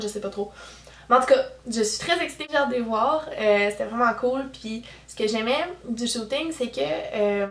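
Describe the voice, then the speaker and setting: An 18-year-old woman with a strong Québécois French accent, chatting candidly to her viewers in a casual vlog, full of fillers. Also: on the high side. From Quebec. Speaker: YouTuber. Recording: roomy echo, camera at a distance.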